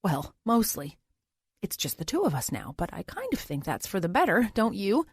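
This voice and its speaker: Woman narrator as adult male captor, coaxing, fond, insistent, animated intonation, audiobook thriller. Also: mid-range voice, normal speed, breathy edge, no room sound, clean studio sound. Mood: eager, possessive. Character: creepy, eager, doting adult male captor. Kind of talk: audiobook character dialogue.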